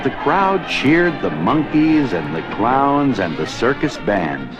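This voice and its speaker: A man narrating a children's read-along storybook in an expressive, deliberate storytelling manner. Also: mid-range voice. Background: music.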